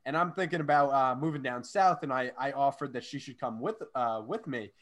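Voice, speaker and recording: A man's voice: mid-range voice, fairly quick, fairly bright tone. A young man from New York State talking casually in a group discussion. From New York State, Hudson Valley. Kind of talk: casual chat.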